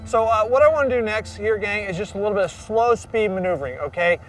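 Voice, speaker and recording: A man's voice: high-pitched, fast. A man working as a motorcycle instructor, giving casual, practical directions to a group. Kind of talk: instructing riders. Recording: distant mic outdoors with music.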